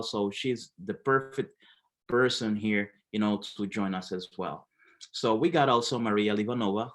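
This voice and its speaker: Halting man presenting a webinar. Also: medium pitch. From Haiti. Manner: many fillers, halting restarts. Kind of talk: live business webinar presentation.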